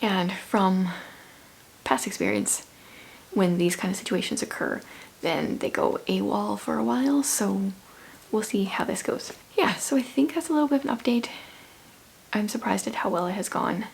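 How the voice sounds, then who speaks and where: A woman from the Canadian prairies giving a rambling, casual vlog update, her voice hushed and breathy at times. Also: medium pitch, animated, moderate pace, a little hiss or hum, rough recording. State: sore throat, losing her voice. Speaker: vlogger. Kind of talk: casual chat.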